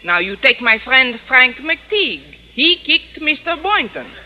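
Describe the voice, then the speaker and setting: Insulting French madame, French accent, broken English, animated pitch, muffled radio comedy recording. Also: female voice, measured pace. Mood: blithely rude. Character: haughty, insulting French madame in comic disguise. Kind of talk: 1950s radio comedy acting. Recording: dull noisy old radio recording.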